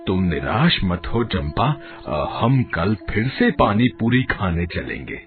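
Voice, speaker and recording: A man voicing a kindly elderly father of two girls, speaking in a slow, drawn-out way. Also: on the low side, big pitch swings. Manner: slow, drawn-out, warm-hearted, relishing. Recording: dull lo-fi audio, faint music.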